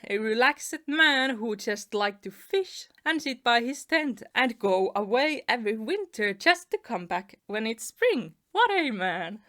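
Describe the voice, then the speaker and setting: A woman's voice: fairly high pitch, big pitch swings, measured pace, few pauses, a bit bright. A young woman from Finland with a Finnish accent, chatting through a storytime narration with little laughs between phrases. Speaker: animation YouTuber. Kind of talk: storytime narration over a speedpaint.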